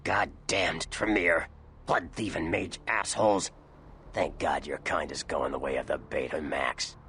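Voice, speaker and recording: A grizzled vampire informant from a video game, with an extremely low, gravel-edged voice. He is cynical and sardonic, talking in gruff slang over faint noise. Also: fairly even intonation, a little slow, sharp tone, full character voice. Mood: bitterly annoyed.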